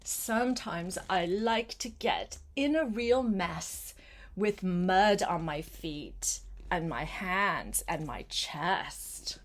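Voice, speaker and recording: An older woman reading a picture book aloud to a small child, gentle and coaxing, with chatty asides spoken straight to the child. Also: lowish voice, expressive. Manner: gentle, unhurried, coaxing, addresses the child directly.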